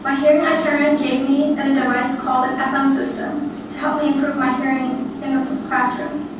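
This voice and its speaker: A young woman with a high voice delivers a prepared personal speech in a very echoey room. Her intonation is quite flat, and she reads carefully, sometimes stumbling over words. The recording is distant and noisy. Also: normal speed. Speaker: student giving a presentation.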